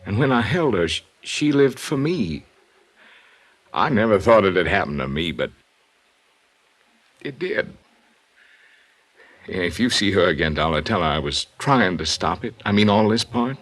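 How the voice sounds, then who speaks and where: An American actor playing a tough but shaken, lovesick hired man in a 1950s radio drama, speaking in a halting, confessional way. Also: fairly low pitch, expressive, fairly bright tone. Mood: anguished.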